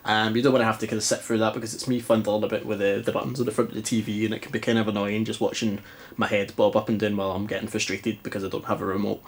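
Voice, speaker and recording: A man's voice: on the low side, little pitch movement, racing. A Scottish man with a stuffy, congested voice, chatting casually and mocking himself while testing an old console in a dim living room. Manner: chatty, self-mocking, rambling. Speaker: retro game vlogger. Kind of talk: casual chat.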